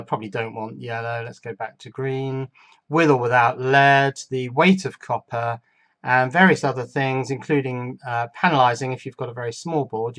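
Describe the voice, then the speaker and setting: A man's voice: mid-range voice, a bit bright. Man casually thinking aloud at work. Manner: unscripted, thinking aloud as he works. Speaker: host of an electronics build channel. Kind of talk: casual hands-on workbench commentary.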